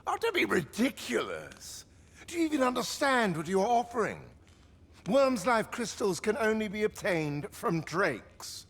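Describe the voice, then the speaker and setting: An actor playing a haughty, excitable, obsessive inventor in a video game cutscene, speaking theatrically in archaic phrases. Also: male voice, high-pitched, a little slow, gravelly, husky, heavily acted. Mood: incredulous. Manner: theatrical, archaic diction, swinging from muttering to exclaiming. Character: obsessive, haughty, excitable male scholar-inventor of magical devices.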